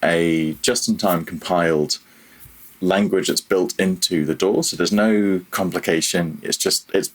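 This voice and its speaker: A man's voice: deep voice, unhurried. A man talking casually as an interview guest, reflective and self-deprecating about himself. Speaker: podcast guest, freelance audio programmer.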